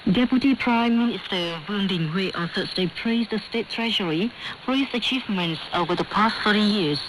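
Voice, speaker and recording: A woman's voice: mid-range voice, brisk. A woman reading formal scripted news as a radio newsreader, heard over shortwave radio. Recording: noisy, hissy shortwave radio broadcast.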